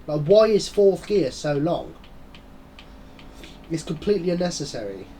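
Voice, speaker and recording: A man's voice: a little high, sing-song, measured pace, mellow, slight background noise. A man giving casual, rambling commentary while playing a racing game. He has a blocked nose from a head cold and breathes through his mouth. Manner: rambling, chatty, muttering asides. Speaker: gaming channel host.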